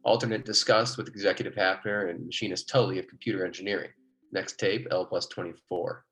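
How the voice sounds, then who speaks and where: Prominent music sits behind a low-pitched American biologist in an old radio play. He is earnest and wryly patient, measured and clinical, like a man keeping a careful survey log. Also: steady tone, fairly bright tone, poor audio. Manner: measured clinical dictation alternating with easygoing explanation. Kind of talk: golden age American radio drama acting.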